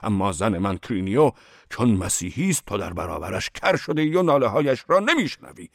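A man doing a furious shouting peasant father. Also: sing-song, gravelly, no room sound, studio quality, exaggerated voice. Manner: shouting, accusing, high strained voice. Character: furious peasant father, middle-aged man, desperate and defiant.

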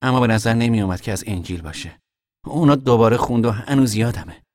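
An actor playing a young man in hiding, talking to his mother in an earnest, quietly stubborn way. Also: mid-range voice, no echo, studio quality, lightly acted. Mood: reflective. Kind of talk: audio drama acting.

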